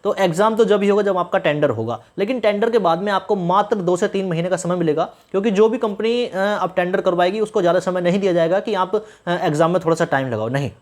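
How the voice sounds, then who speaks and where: Fast-talking man explaining. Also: high-pitched. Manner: instructive, repeats phrases for emphasis. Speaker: exam news YouTuber addressing candidates. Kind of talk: informal explainer with advice to viewers.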